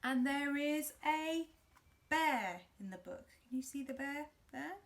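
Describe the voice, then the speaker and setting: Slow, higher-pitched woman storyteller for children. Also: very noisy. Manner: expressive, simple, demonstrative phrasing. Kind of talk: interactive picture-book reading for young children.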